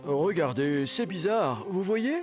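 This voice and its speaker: A man's voice: fairly high pitch, lively intonation, slow, music in the background. A man playing a learned, worried dwarf leader in a children's cartoon, explaining things in a slightly fussy way. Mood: puzzled, concerned.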